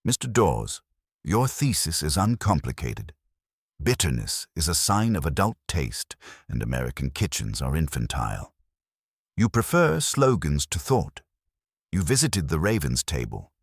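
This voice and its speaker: A man's voice: rumbling low, very animated, unhurried, husky, no room sound, clean studio sound, heavily acted. A man voicing an imperious, cerebral detective in an audiobook, pompous, formal and commanding as he passes cold judgement. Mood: stern, judging.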